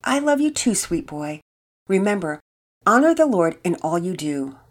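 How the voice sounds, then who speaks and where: A woman voicing a warm, comforting mother who speaks reassuringly. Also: fairly low pitch, big pitch swings, moderate pace. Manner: soothing, reassuring.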